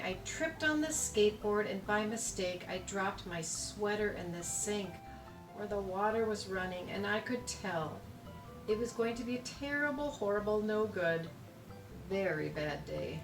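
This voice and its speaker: A woman reading a children's storybook aloud expressively, with dramatic emphasis and deliberate pauses on key words. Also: medium pitch. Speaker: storybook reader. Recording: echoey room, distant mic, music behind.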